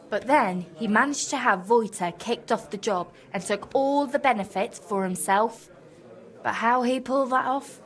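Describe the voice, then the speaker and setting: Woman playing townswoman, video game dialogue, fairly high voice, frank, concerned, confiding. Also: natural voice.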